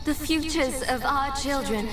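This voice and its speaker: Compassionate game goddess, high voice, resolute, earnest, echoey, loud score behind. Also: female voice, measured pace, distant mic, over music. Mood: solemn resolve. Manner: earnest, solemn. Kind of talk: video game cutscene acting.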